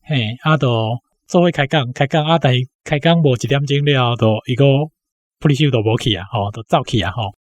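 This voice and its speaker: A man's voice: medium pitch, brisk. A man in his sixties with a Taiwanese accent recalls personal memories informally, often punctuating his phrases with little tag particles. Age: 60s. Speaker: interview guest, university academic. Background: background music.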